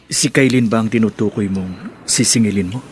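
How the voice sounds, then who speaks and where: An actor playing a polite, concerned resort owner in a radio drama, speaking in a measured, gently probing way. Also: male voice, lowish voice, lightly acted. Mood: polite, curious. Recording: background noise.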